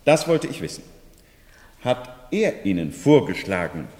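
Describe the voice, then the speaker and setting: An actor playing an inquisitive, fussy doctor in a radio play, speaking politely and pedantically. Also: male voice, fairly low pitch, very animated, a little slow, a little mellow. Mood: probing, earnest. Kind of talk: 1970s German radio play acting. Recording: very echoey reverberant room, 1970s radio.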